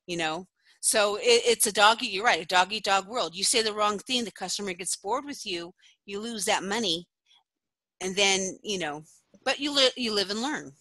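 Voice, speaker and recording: A middle-aged woman, a former phone sex operator, telling stories in a casual podcast interview, chatty and quick to laugh. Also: mid-range voice, bright, forceful tone, no room sound. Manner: chatty storyteller who laughs often.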